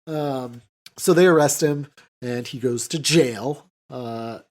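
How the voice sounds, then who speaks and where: A man co-hosting a podcast, casually recapping a film in a halting way full of filler words. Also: mid-range voice, a little mellow. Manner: halting, filler-heavy with "like" and "you know". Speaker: podcast co-host.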